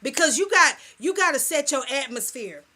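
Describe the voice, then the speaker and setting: A woman hosts a radio talk show with a high, bright voice. She talks in a soft, playful and sassy way, teasing her guest and chatting with listeners. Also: normal speed. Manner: lively, playful, sassy, calls listeners "girl" and "y'all". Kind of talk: casual radio talk chat.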